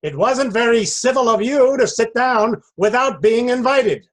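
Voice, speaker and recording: A man's voice: extremely high pitch, expressive, a little slow, exaggerated voice. A man acting a rude, contrary hare in a readers theater sketch. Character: rude, contrary March Hare at tea party.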